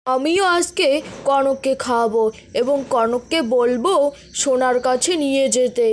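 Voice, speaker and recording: A woman's voice: high voice, a little slow, a little hiss or hum. A woman voicing a greedy, rude male neighbour in a cartoon tale, scolding and demanding. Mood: scheming.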